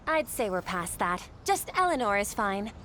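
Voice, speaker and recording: A woman's voice: mid-range voice, big pitch swings, normal speed, a bit bright, slight background noise. A woman voicing an earnest, gracious young noblewoman in a video game cutscene, speaking in a formal, articulate way. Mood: gracious, friendly. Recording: music behind the voice.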